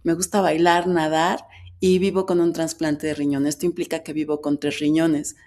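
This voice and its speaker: Mexican woman guest, middle-aged, low mellow voice, reflective and candid storytelling. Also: little pitch movement, moderate pace. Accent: Mexican Spanish. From Veracruz, Mexico. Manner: reflective, candid, speaks in long flowing stretches. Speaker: guest, writer and foundation president. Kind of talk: personal storytelling in an interview.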